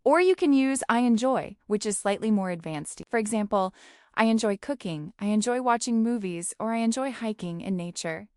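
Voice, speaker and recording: A woman who is an English teacher, explaining a scripted lesson in a clear, measured way. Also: a little high, animated, darkish tone, no room sound, clean studio sound.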